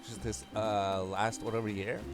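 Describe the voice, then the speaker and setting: A man's voice: fairly low pitch, flat delivery, normal speed. A man hosting a gaming video, casually thinking aloud as he gives a walkthrough. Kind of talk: casual game walkthrough commentary. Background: game music.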